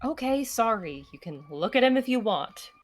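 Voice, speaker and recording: A woman's voice: a little high, big pitch swings, normal speed. A woman chatting casually as co-host of a stream where visual novels are read aloud. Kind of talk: casual banter.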